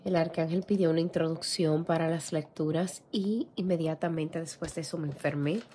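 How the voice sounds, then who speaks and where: A hoarse woman reading tarot cards in a casual, gentle way, clearing her throat often. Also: low-pitched, steady tone, moderate pace, a little hiss or hum. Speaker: tarot reader. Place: indoor room with a tarot table. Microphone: camera over table, hands seen.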